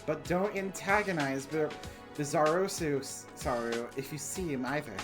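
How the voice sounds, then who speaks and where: A woman voicing a calm professor in a game, formal and reassuring, with music behind. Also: sits low, normal speed, pitched below the performer's own voice, close to an everyday voice. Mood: calm, cautioning. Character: calm, reassuring professor urging students not to panic. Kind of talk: amateur game character voice acting.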